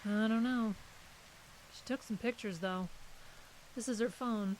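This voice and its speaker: A woman's voice: a little high, unhurried, darkish tone, close to an everyday voice, low-quality recording. A woman playing a guarded, brusque literary agent in an audio drama, with a dry, curt manner. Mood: dry, reflective. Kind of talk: naturalistic audio drama acting. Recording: clearly hissy recording.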